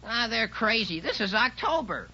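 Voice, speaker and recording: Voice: mid-range voice, very animated, moderate pace. A performer playing a young boy who tosses out dry, mocking quips in 1940s radio comedy. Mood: sarcastic, teasing. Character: wisecracking, sarcastic young boy. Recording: muffled, faintly noisy 1940s radio recording.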